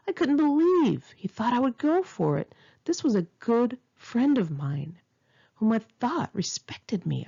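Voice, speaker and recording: Woman, bright husky voice, very expressive, sarcastic indignant storyteller, memoir audiobook reading, slightly muffled home recording. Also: mid-range voice. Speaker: author reading own memoir aloud. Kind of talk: expressive audiobook narration of a first-person memoir.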